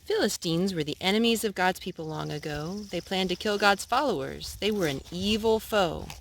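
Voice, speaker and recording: A woman reading picture books aloud for children's storytime in an expressive, deliberate way. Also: medium pitch, slight background noise.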